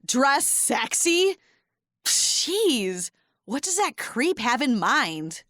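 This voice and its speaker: A blunt, suspicious young woman played by an actress in an audio drama. She drags her words out slowly with big swings of pitch, sounding like a protective friend. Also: very animated, fairly bright tone, no room sound, clean studio sound, full character voice.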